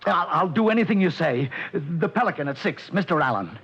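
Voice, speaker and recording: A jittery, stammering businessman from an old radio thriller, his husky voice swooping up in anxious pleas. The sound is dull and lo-fi, like a vintage broadcast. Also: a little high, lively intonation, brisk, fairly bright tone, full character voice. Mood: pleading. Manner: stammering, nervous, pleading. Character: nervous stammering male businessman, desperate. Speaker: narrator and lead. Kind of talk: 1940s radio drama acting.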